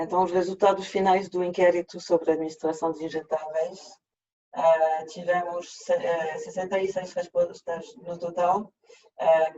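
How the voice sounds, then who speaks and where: A man with a French accent, native French speaker, presenting an online workshop in a halting way with frequent restarts, over a video call. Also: a little high, a little mellow. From France. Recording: dull lo-fi video call audio.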